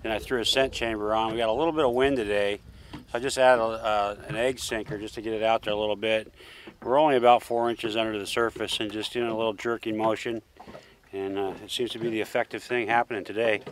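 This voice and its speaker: A man fishing from a small boat outdoors, casually explaining his rig to the camera with excitement. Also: fairly low pitch, quick pace, slight background noise. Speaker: angler on a boat.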